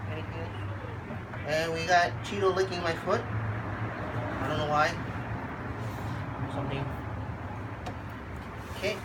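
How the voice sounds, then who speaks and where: A congested vlogger with a cold, unboxing a computer in a laid-back, halting way and talking to the dogs in between. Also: medium pitch, very noisy. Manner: halting, lots of fillers, chats to the dogs. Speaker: channel host unboxing a computer. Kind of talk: casual unboxing vlog.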